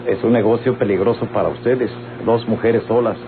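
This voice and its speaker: An actor playing a wealthy Mexican hacienda owner in an old radio drama, formal, insistent and persuasive. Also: male voice, fairly low pitch, fairly even intonation, a little fast, close to an everyday voice. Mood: insistent warning. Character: wealthy, persuasive male hacienda owner pressing women to sell. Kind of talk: old radio-novela acting. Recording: noisy, humming, tinny old radio recording.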